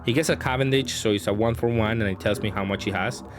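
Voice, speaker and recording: A man with a fairly low voice commentates casually on his own gameplay. He reasons aloud as he goes, hesitating and working through choices. Music is clearly audible underneath his speech. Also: quick pace. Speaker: card game player and channel host. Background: background music.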